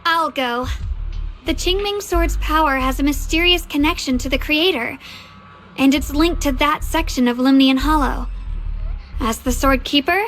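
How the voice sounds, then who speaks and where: A woman's voice: high voice, a little slow, a bit bright, faint music behind, rough recording. An actress playing a resolute, selfless young swordswoman in a video game, a polite and earnest disciple.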